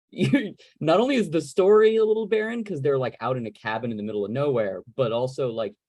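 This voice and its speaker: A man moderating a casual Q&A on a video call, talking in a wordy, rambling, animated way. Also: mid-range voice, a little fast, dry recording. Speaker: moderator of a panel Q&A. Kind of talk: casual conversational interviewing.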